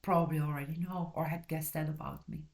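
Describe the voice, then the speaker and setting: A woman's voice: low voice, fairly even intonation, fast, darkish tone. Rambling, self-correcting woman from Belgium. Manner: blunt and direct. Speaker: channel host reviewing a book. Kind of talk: casual book review.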